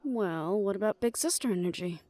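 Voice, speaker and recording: Actress as protective big sister type, firm, wry, dry close sound, audio drama. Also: female voice, mid-range voice, lively intonation, moderate pace, mellow, no room sound, lightly acted. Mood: supportive, frank. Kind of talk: audio roleplay drama acting.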